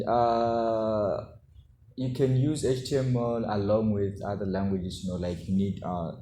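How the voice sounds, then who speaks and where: A low-voiced man with a soft, dark tone gives an informal tutorial. He talks haltingly, feeling his way through explanations and often tagging on a quick check for agreement. The room rings noticeably around him, with a faint layer of noise underneath. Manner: halting, with frequent check-in tags. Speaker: coding tutor. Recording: roomy echo, faint background noise.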